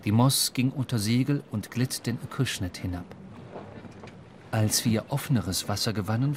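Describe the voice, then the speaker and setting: An actor playing a reflective seafaring narrator, reading literary prose in a radio drama. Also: male voice, mid-range voice, background noise, close to an everyday voice. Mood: exhilarated, lyrical. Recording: music under the voice. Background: music.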